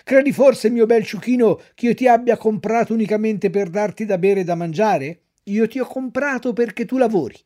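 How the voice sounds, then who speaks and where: A man voicing a bad-tempered donkey owner who scolds and shouts. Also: high-pitched, moderate pace, hardly any pauses, pitched above the performer's own voice, lightly acted. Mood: scolding.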